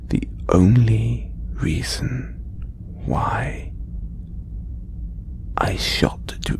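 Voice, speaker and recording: A man playing a condescending, possessive alien trophy hunter in an audio roleplay, speaking deliberately in breathy half-whispers with long pauses. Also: lowish voice, heavily acted. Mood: possessive, intimate. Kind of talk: slow audio roleplay monologue to listener.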